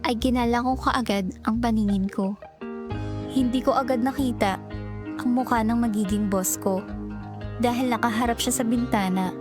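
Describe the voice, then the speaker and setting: A woman playing a giddy, chatty young job applicant in a romance audio drama, expressive and gushing, with a Filipino accent. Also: fairly high pitch, natural voice.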